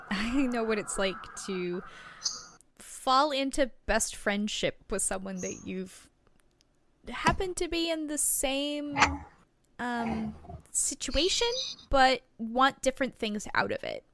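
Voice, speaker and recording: A woman playing an encouraging, gently instructive local reporter in an audio drama, speaking in a measured, conversational way. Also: fairly high pitch, very animated, noisy background, heavily acted. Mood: reflective.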